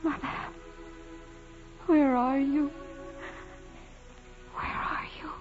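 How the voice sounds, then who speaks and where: An actress playing a frail, devout young nun, gravely ill, pleading haltingly in a 1940s radio drama. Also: female voice, high-pitched, fairly even intonation. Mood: anguished. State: weak, sick, straining to speak. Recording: muffled vintage radio recording, music behind.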